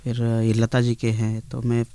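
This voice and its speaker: A man answering interview questions in a halting, modest, conversational way. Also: mid-range voice, monotone, dark tone, clear, resonant tone, no echo. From India. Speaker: guest singer being interviewed.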